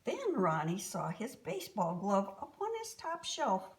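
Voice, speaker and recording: A woman reading a children's story aloud as an expressive storyteller. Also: mid-range voice, unhurried, a little hiss or hum.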